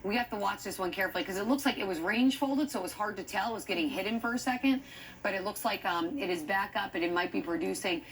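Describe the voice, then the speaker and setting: A woman's voice: medium pitch, fast talker, slight background noise, poor audio. American woman forecasting live severe weather. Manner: urgent, thinking aloud while reading radar.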